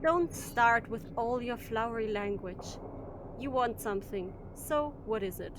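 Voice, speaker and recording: A woman's voice: on the high side, a little slow, noisy background, lightly acted. A woman playing a blunt, defiant shuttle captain in an audio drama, curt and dismissive in manner. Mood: irritated.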